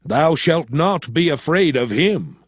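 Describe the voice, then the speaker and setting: An actor playing an aged male prophet and lawgiver, exhorting his people in a solemn, measured way in a dramatized scripture reading. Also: fairly high pitch, animated, slightly rough, no echo, studio quality, heavily acted. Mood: solemn exhortation. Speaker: actor in a dramatized audio Bible.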